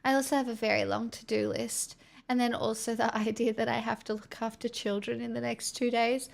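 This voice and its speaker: A woman's voice: fairly high pitch, flat delivery, fairly quick, creaky. A young Australian woman chatting casually and frankly on a live stream, rambling to her viewers; she says her voice feels strained. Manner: rambling, frank, talks to chat.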